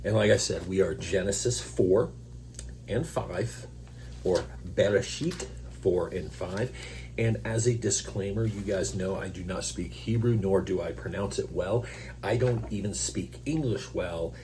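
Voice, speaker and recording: A slow, deep-voiced man reads scripture and slips into relaxed, self-mocking commentary between the verses. Allergies keep him clearing his throat. A steady hum and noticeable noise sit under the recording. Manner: casual, self-deprecating asides between verses. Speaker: host reading scripture. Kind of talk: Bible reading with informal commentary. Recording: noisy recording with electrical hum.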